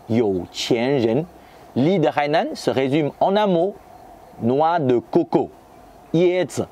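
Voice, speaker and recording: A man from Shanghai, a native Chinese speaker, narrating a scripted lesson and saying Chinese terms slowly and carefully. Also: on the high side, a little mellow. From Shanghai, China. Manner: reads French lines, then says Chinese terms slowly. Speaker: language teacher.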